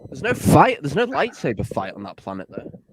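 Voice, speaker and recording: A man's voice: medium pitch, huge pitch swings, brisk, slightly rough, dry recording. A young man, a guest in a casual livestream chat, rambling with jokey, self-deprecating asides.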